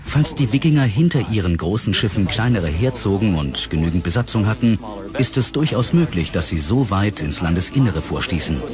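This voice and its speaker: Deep-voiced man commenting over music. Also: sing-song, a little fast.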